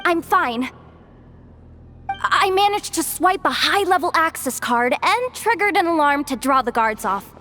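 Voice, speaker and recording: A woman voicing a quick-witted young infiltrator who takes charge and mutters asides to herself, in a video game cutscene. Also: sits high, expressive, pushed and bright, slight background noise, heavily acted.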